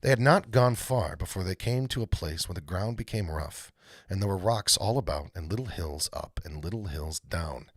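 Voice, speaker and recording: Animated, husky-voiced man reading a storybook. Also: low-pitched, moderate pace, dry recording, clean studio sound. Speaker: read-aloud host, storyteller. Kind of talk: expressive storybook narration.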